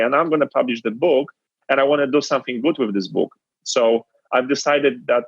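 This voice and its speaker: Polish-accented man, earnest, husky. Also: medium pitch, no room sound, muffled recording. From Poland. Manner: earnest, reflective, conversational. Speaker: guest entrepreneur. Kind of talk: interview storytelling.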